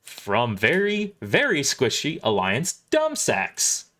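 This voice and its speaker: Man putting on a gleeful, taunting war machine, drawn-out and swooping. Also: medium pitch, huge pitch swings, bright, forceful tone, heavily acted. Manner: taunting, relishing every word.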